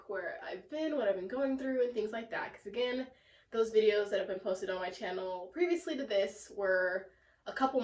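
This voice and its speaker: A young woman with a sick, congested, raspy and nasal voice, chatting casually in a home plant vlog with lots of fillers. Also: mid-range voice, darkish tone. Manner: chatty and self-deprecating, lots of "like" and "um". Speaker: plant vlogger. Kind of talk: casual rambling vlog chat. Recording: echoey room, mic at a distance.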